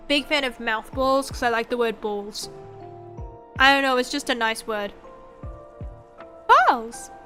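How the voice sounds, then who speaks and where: Bright, high-voiced young woman streamer. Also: slow, pauses often. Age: 20s. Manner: rambling, animated quoting, casual swearing. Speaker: streamer, VTuber host. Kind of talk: casual livestream chat. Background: background music.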